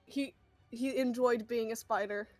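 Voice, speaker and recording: A woman's voice: a little high, fairly even intonation, measured pace, a little mellow, dry recording, soft background music. A woman chatting casually as a player in a tabletop role-playing game. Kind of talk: casual gaming chat.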